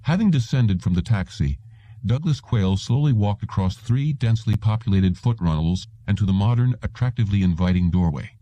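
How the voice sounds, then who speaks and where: A man's voice: lowish voice, expressive, a little fast, mellow, no echo. A man reading literary fiction aloud as a scripted audiobook narrator.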